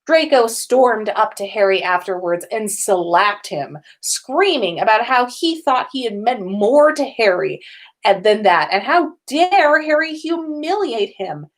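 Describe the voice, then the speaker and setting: A woman's voice: on the high side, animated, measured pace. A woman podcast host reading fan fiction aloud in an informal storytelling style.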